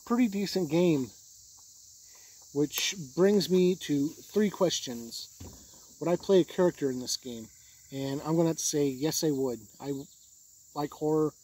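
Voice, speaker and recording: Man, husky voice, a bit high-pitched, informal reviewer, matter-of-fact, unscripted delivery. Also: darkish tone, poor audio. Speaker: tabletop game reviewer.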